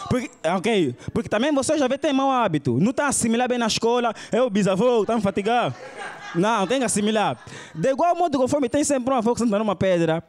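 A young man with an Angolan Portuguese accent doing stand-up storytelling, acting out characters on a club stage. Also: high voice. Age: young adult. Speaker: stand-up comedian. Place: comedy club stage with audience. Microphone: handheld microphone.

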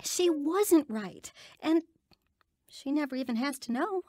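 A woman's voice: high voice, expressive, a little slow, darkish tone, no room sound, studio quality. An actress playing a pragmatic young woman in an audio drama, reassuring and coaxing her friend. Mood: soothing, urgent. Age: young adult.

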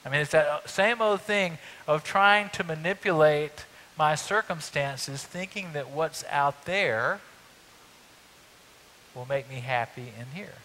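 A middle-aged man preaching a sermon on a church stage, talking in a conversational way with lively emphasis. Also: on the high side, takes it slowly, poor audio. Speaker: pastor. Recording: faint hiss.

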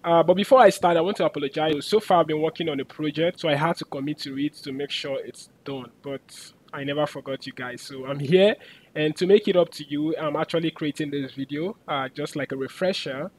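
A man giving a screen-recorded coding tutorial, chatty and filling pauses with "uh" and "okay". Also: on the high side, steady tone, darkish tone, slight background noise, low-quality recording.

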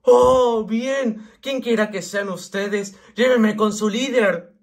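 A man's voice: high voice, fairly even intonation, slow pace. A man voicing a nerdy, panicky schoolboy in an amateur comic fandub, dramatic and pleading. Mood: earnest, alarmed. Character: panicky nerdy schoolboy frantic about a chalk monster.

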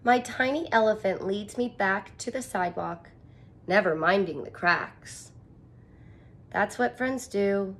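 A woman's voice: mid-range voice, a little slow. A woman in her thirties, a teacher reading a picture book aloud and explaining it expressively in simple phrases for young children. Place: small furnished room indoors.

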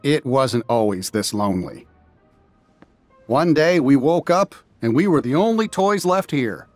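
An actor playing a friendly, easygoing cowboy toy leader in a video game, folksy and reassuring. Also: male voice, mid-range voice, expressive, unhurried, dry recording, soft background music, lightly acted. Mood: wistful. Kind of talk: animated video game dialogue.

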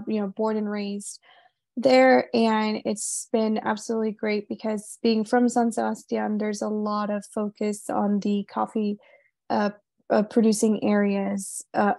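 Creaky-voiced woman from Puerto Rico. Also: mid-range voice, darkish tone, no echo. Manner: conversational with many fillers. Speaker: panelist. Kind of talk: video call panel introduction. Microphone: phone on a video call.

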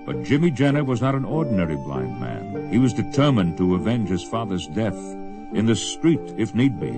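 Deep-voiced male western storyteller. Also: very animated, moderate pace. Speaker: narrator. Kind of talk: scripted radio drama narration. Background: guitar music.

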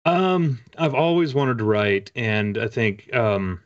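A middle-aged man with an American accent, chatting casually as an author being interviewed, in a halting, reflective way. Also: medium pitch, lively intonation. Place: home room with bookshelves, indoors. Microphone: video call. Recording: dull, muffled video-call audio.

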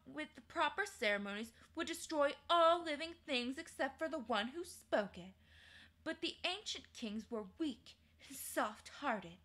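A woman's voice: sits high, bright, forceful tone, pitched above the performer's own voice, heavily acted. A woman voicing a proud, cruel ancient queen in a storybook reading, haughty and declaiming.

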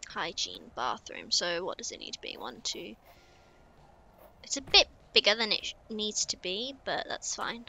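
Chatty woman, bright lively voice. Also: medium pitch, a little slow, slight background noise. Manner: chatty, muttering to herself while building. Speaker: streamer. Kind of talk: casual gameplay commentary.

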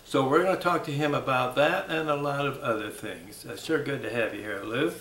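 A man's voice: mid-range voice, a bit bright. Older guy asking interview questions, a bit distant, roomy echo. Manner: friendly, measured questioning with fillers. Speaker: volunteer interviewer. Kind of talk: oral history interview. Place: museum room, indoors.

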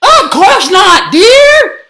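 A woman voicing a fierce, doting mother shrew from a children's story, shrill and bellowing. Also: very animated, drawn-out words, pushed and bright, reverberant, heavily acted. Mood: reassuring, screaming. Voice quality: shrill, screaming. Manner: bellowing, dramatic.

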